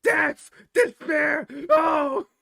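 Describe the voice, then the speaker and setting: A man's voice: very high-pitched, level tone, very slow, creaky, pushed and bright, raised voice, pitched above the performer's own voice, full character voice. A man voicing a hysterical, melodramatic young defendant from a video game, wailing in despair. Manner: screaming, melodramatic.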